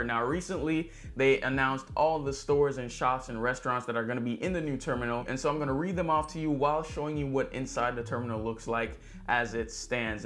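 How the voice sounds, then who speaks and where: A man with a mid-pitched voice presents a local news recap as a vlog host. He talks like an upbeat real estate agent, chatty and conversational. He addresses his viewers directly. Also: fairly bright tone.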